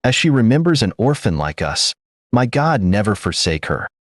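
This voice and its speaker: A man's voice: fairly low pitch, sing-song, moderate pace, no room sound, clean studio sound. A man doing a fervent, grateful orphan girl. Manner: fervent, praying blessings. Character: poor orphan young woman, fervent and grateful.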